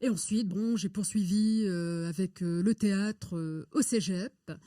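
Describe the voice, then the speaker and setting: A woman speaking as an interview guest, telling her story in an articulate, deliberate, conversational way. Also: medium pitch, dark tone, no room sound, clean studio sound. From born in Morocco. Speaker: interview guest, public affairs and rhetoric consultant.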